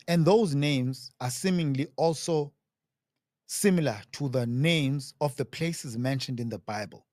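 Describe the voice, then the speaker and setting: A man interviewing a guest and asking questions casually in short, halting phrases. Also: a little high, a little mellow, no echo, clean studio sound.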